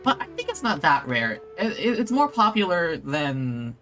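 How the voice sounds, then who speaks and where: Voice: mid-range voice, big pitch swings, unhurried, bright, forceful tone. A game streamer chatting casually on a livestream, hesitating and thinking aloud in a rambling way. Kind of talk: casual gameplay livestream chat. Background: faint game music.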